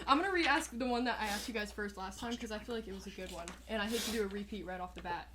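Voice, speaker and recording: A woman's voice: medium pitch, racing, noisy background. An eighteen-year-old woman hosting a podcast in casual chat, chatty and giggly with lots of fillers. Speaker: podcast host.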